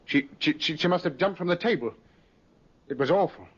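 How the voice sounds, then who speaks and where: An actor playing a nervous male suspect in an old radio drama, halting and stammering anxiously. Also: a little high, animated, slightly rough. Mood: shaken, defensive. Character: nervous, guilty male murder suspect pleading innocence. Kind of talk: 1950s radio drama acting. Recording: dull vintage radio recording.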